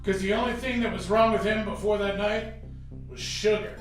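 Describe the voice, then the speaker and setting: A man's voice: high-pitched, fairly even intonation, normal speed, close to an everyday voice. A man playing a plain-spoken small-town old-timer in a radio drama. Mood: subdued. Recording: distant, very echoey, faint music.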